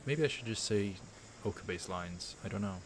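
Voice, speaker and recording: A man hosting a let's play, reading a visual novel aloud with casual asides in a halting, measured way. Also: fairly low pitch, background noise.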